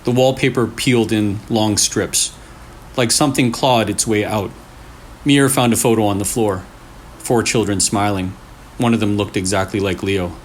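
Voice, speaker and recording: Guy reading creepy stories out loud, careful short phrases, pauses for suspense, noisy audio. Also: on the low side, steady tone. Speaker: storyteller, channel host. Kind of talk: scary story read aloud. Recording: clearly noisy room recording.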